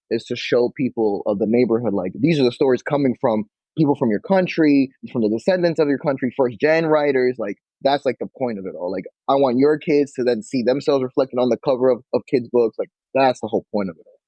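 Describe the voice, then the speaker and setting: Casting note: young New man, bookshop owner as guest, dark mellow voice, dry lo-fi sound, easygoing talk. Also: medium pitch, fairly quick, no room sound. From Queens, New York. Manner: relaxed, peppered with "like", acts out quotes. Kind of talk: casual interview chat.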